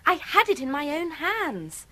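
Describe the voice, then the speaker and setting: A woman's voice: high voice, big pitch swings, measured pace, pushed and bright, no room sound, heavily acted. An actress playing an earnest, anxious young woman with an English accent in a radio play. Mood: distressed. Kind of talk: 1980s radio drama acting.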